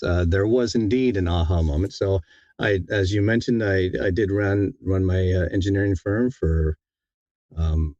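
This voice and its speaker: A middle-aged man with a low, mellow voice talks casually as an interview guest. He is reflective and a little halting, often doubling back on words. The audio is dry and slightly dull. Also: no echo. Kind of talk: casual conversational storytelling.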